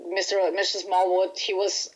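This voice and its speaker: A woman's voice: mid-range voice, completely flat delivery, normal speed, a little mellow, muffled recording. Chatty Brazilian woman in an interview. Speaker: band musician being interviewed. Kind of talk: casual interview chat.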